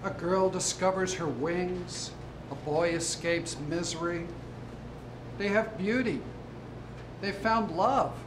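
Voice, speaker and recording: A man's voice: slow pace, long pauses. A man reciting short lines in a dramatic style. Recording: echoey room with rumbling background noise.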